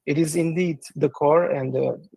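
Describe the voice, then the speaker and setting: A man from Turkey answering interview questions in a live audio session. He pauses often on ums and uhs as he explains. His voice has a gravelly, fry-tinged texture, heard dry and close with no room sound. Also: a little high, fairly even intonation, warm tone, dry recording. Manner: hesitant, many ums and uhs. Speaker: guest, project business development director. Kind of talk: casual livestream interview.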